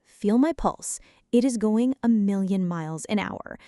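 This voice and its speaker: A woman's voice: medium pitch, lively intonation, measured pace, dark tone, no room sound, clean studio sound, lightly acted. A woman acting a jumpy, flirty, dramatic friend in an ASMR roleplay, talking to the listener in a teasing, mock-indignant way. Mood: panicked.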